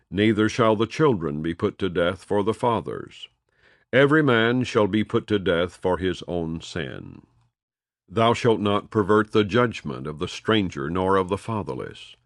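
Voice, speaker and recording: A man reading scripture aloud in a measured, solemn cadence, letting phrases fall at their ends. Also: sits low, gravelly, no room sound, studio quality. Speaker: audio Bible reader.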